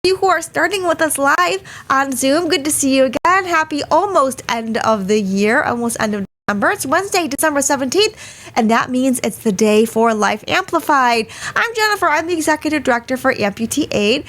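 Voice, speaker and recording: A woman hosting a live webinar, a little hoarse from a cold, who talks in a chatty way and greets many attendees by name. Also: sits high, expressive, brisk, a bit bright. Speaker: webinar host. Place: small studio. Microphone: studio mic.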